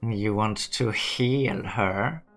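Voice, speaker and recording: A man playing a cold, logical, condescending scientist in a fan dub, with a measured, cynical manner. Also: fairly low pitch, faint music behind. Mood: skeptical. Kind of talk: dramatic fan-dub voice acting.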